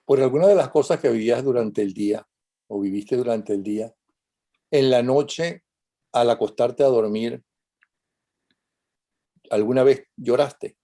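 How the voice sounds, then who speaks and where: A man hosts the interview with a gravelly, dark voice. He sits away from the mic, so he sounds distant. He asks his questions slowly and probingly. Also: mid-range voice. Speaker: interviewer, host.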